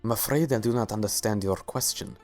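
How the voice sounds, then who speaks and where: A man voicing a suave, courtly pony sultan with measured, formal, polite speech in a dramatic reading. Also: on the low side, soft background music, close to an everyday voice. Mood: politely puzzled. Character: suave, regal, courtly male alicorn sultan. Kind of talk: fan-fiction dramatic reading.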